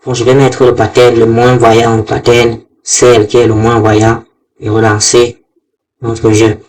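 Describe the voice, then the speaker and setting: A man giving an unscripted step-by-step software tutorial, halting and often repeating his words. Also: mid-range voice, monotone, slight background noise. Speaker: programming tutorial presenter. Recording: loud music behind the voice. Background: music.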